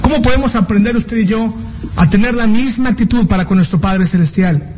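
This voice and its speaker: A man with a very high voice preaches an earnest sermon, stretching out key words and pausing on rhetorical questions. He is from Mexico. A faint hum of ambience sits under his voice. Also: darkish tone.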